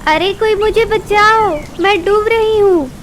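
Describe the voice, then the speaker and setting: A woman voicing a scheming, bossy auntie bird with a shrill, scolding manner in a Hindi cartoon. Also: takes it slowly, a little hiss or hum. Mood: panicked.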